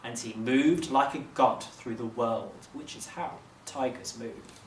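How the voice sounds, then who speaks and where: A man narrating a children's story expressively, like a teacher reading aloud, with measured dramatic phrasing. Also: mid-range voice. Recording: roomy, distant mic, faint noise.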